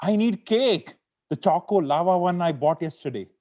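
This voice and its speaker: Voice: medium pitch, expressive, normal speed, warm tone, lo-fi sound, lightly acted. A performer in an amateur table read playing a stressed, sweet-toothed lawyer husband who bickers, wheedles and pleads like he is in court. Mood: craving, pleading. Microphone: video call.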